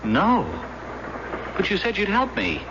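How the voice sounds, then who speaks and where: An actor playing a frightened American escaped prisoner of war in an old radio drama, speaking nervously with halting stammers. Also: male voice, sits high, big pitch swings. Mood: panicked. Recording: muffled radio drama tape with loud background noise.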